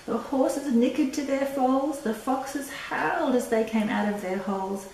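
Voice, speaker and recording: Slow-reading older woman in an echoey room. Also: high-pitched, a little mellow. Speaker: storyteller reading a picture book to children. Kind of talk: read-aloud children's story with asides. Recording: echoey room, distant mic, faint noise.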